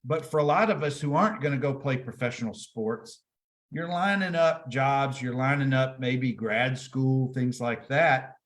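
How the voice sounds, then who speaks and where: A man's voice: medium pitch, unhurried. A man hosting a sports interview podcast, himself a former college player, asking long conversational questions.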